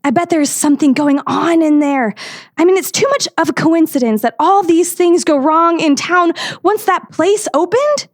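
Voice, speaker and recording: High-pitched, earnest young woman played by an actress. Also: normal speed, no echo, clean studio sound. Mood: defiant, determined. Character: earnest, defiant, naive young woman determined to fight. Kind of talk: radio drama acting.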